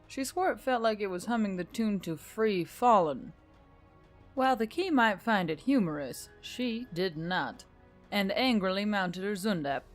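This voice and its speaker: Woman narrating fiction over music. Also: mid-range voice. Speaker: audiobook narrator and channel reader. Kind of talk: scripted story narration.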